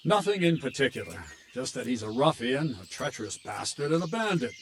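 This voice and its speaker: An actor playing a gruff, blunt medieval army captain in a video game cutscene, telling a grim tale in a steady, weighty way. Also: male voice, mid-range voice, a little fast, slight background noise. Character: gruff middle-aged male army captain. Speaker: military captain.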